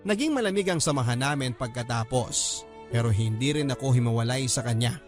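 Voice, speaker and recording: A man's voice: mid-range voice, animated, brisk. A man with a Filipino accent reading a scripted first-person story as a radio drama narrator. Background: music.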